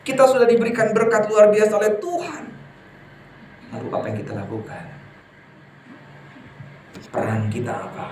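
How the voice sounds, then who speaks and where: A man preaching a sermon to a congregation, lively and emphatic, often putting questions to his listeners. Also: high voice, moderate pace, pauses often, a little hiss or hum. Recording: echoey hall from far off, faint ambience.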